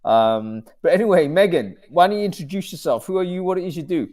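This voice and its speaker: A man's voice: on the high side, expressive, brisk, a little mellow. A man hosting a casual video-call chat, talking in a rambling, self-deprecating way. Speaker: host.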